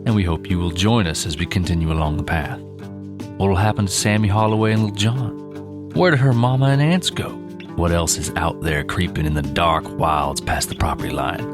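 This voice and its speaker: A man's voice: sits low, brisk. A man hosting a fiction podcast, addressing listeners in a scripted, theatrical storyteller manner. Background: guitar music.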